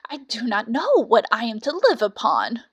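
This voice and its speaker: A woman voicing an aging, rueful female cat in a storybook, with drawn-out, plaintive phrasing. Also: on the high side, very animated, slightly rough, dry recording. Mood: plaintive, indignant.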